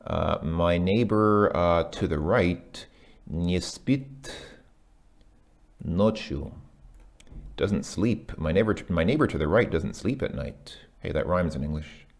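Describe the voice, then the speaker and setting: A man working through a language lesson out loud, hesitant, with many ums and self-corrections. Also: sits low, animated, slow, gravelly. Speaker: language learner reviewing a lesson. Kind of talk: casual thinking-aloud commentary.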